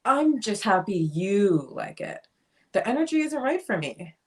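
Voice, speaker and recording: A woman's voice: mid-range voice, very animated, unhurried, a little mellow. A woman playing an aloof, sardonic bohemian artist in a dramatic play reading. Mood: cool, veiled disdain.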